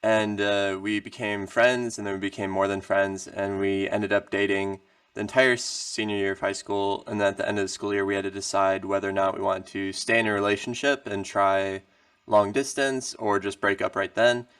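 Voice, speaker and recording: Deep-voiced young man, far from the mic. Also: little pitch movement, normal speed, fairly bright tone, smooth, dry recording, studio quality. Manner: easygoing, agreeable. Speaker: musician guest. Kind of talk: casual interview chat.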